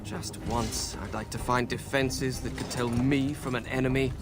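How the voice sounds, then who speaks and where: An actor playing a young, sardonic adventurer prince in a video game, wryly muttering to himself. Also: male voice, medium pitch, steady tone, normal speed, recorded from a distance, close to an everyday voice. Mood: wry, musing. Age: young adult. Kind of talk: video game cutscene and monologue acting. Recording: echoey, under loud game music and effects.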